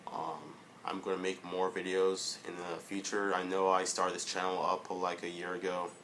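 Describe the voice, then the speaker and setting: A young man giving a casual motivational vlog monologue, halting, with many fillers and restarts. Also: low-pitched, level tone, a bit bright, a little hiss or hum, low-quality recording. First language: English. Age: young adult. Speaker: vlogger.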